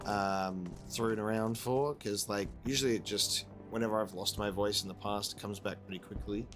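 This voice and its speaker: A man's voice: fairly low pitch, fairly even intonation, normal speed, fairly bright tone. A man with a congested, still-recovering voice giving chatty, rambling let's-play commentary. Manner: chatty, rambling, self-deprecating. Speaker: gaming video creator. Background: game music.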